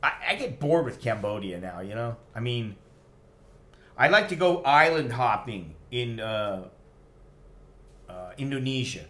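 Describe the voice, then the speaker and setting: A man's voice: medium pitch, lively intonation, unhurried, long pauses, sharp tone. Middle-aged American male expat vlogger, mid-range voice, tipsy, opinionated and sweary, informal off-the-cuff livestream talk. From United States. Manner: blunt, profane, opinionated. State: tipsy after a few drinks. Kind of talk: casual rambling livestream chat.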